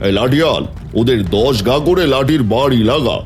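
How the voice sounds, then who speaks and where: A man playing a stern, scornful but fair village headman in an animated story, scolding and giving orders. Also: medium pitch, normal speed, over music, lightly acted. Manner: scolding, commanding.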